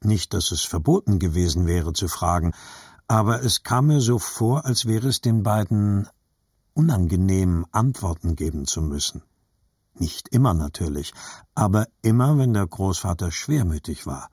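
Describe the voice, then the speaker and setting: An actor playing a reflective adult narrator remembering his boyhood, reading literary narration in a measured, husky voice. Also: deep voice, animated, a little mellow, no room sound, clean studio sound.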